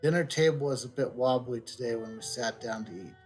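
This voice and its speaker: A man's voice: mid-range voice, fairly quick. A man who streams games, reading the on-screen text aloud. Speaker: game streamer. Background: soft game music.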